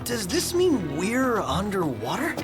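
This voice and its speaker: A young travelling companion in a video game. He talks informally with lively pitch swings and an unhurried pace, blunt, curious and a bit grumbly, with music underneath. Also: full character voice. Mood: surprised, uneasy. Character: young male road-trip companion, casual, grumbling and curious. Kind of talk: video game cutscene acting. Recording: game soundtrack music behind.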